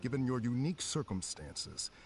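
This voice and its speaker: An actor playing a stern, dutiful samurai police officer in a video game, formal and authoritative. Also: male voice, medium pitch, sing-song, normal speed, faint music behind. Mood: official. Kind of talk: video game character acting.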